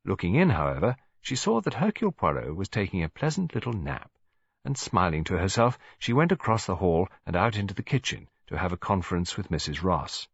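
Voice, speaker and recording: A man's voice: deep voice, very animated, brisk, vocal fry, husky, no room sound, highs cut off. A man with an English accent reading scripted story narration for an audiobook.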